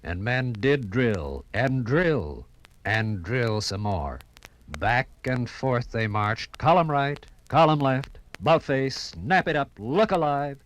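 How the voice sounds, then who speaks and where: A man's voice: medium pitch, lively intonation, takes it slowly. Male narrator, old dramatic radio style. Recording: 1950s radio recording, faint noise.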